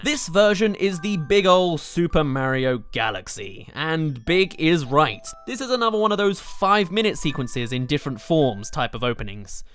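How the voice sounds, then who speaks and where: A man's voice: a little high, fairly bright tone. A man narrating a scripted countdown review as a video host, with an enthusiastic, emphatic delivery. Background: music.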